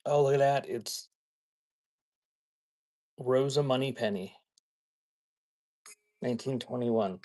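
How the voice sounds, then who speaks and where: A man chatting casually in a folksy, halting way as he hosts a live sale and shows items. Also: medium pitch, mellow. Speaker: live auction seller hosting a stream. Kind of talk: casual rambling chat while showing items for sale.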